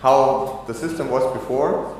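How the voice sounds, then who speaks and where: A man from Austria giving a technical conference talk, halting with many fillers and restarts. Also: mid-range voice, a little mellow. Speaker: conference speaker. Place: conference hall. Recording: echoey hall, long reverb.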